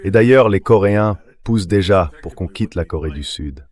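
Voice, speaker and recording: A man's voice: low voice, normal speed, darkish tone, lightly acted. A man's synthetic dubbing voice, speaking as a guest giving translated interview commentary.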